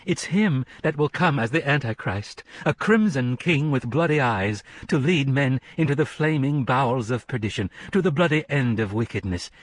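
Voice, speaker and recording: A man's voice: medium pitch, lively intonation, normal speed, few pauses, no room sound, voice pushed up, close to an everyday voice. A man voicing a thundering female revival preacher. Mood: fervent. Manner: thundering hellfire cadence, calls and responses. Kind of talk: audiobook character voice.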